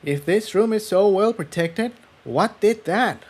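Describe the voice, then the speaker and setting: A man's voice: high voice, animated, measured pace, a little mellow, pitched above the performer's own voice. A man voicing a curious, anxious female pony adventurer in a story reading, with an uneasy, questioning manner.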